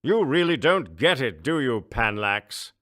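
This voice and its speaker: A man's voice: medium pitch, sing-song, unhurried, fairly bright tone, pitched above the performer's own voice. A man voicing a haughty, scheming alien emperor in an audiobook, imperious and condescending, grandiose with jovial menace. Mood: scornful. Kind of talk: audiobook character voice.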